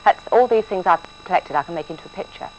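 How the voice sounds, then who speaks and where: A woman's voice: lowish voice, fast, soft background music, natural voice, low-quality recording. A woman playing an eager young girl chatting with her grandmother in a children's show.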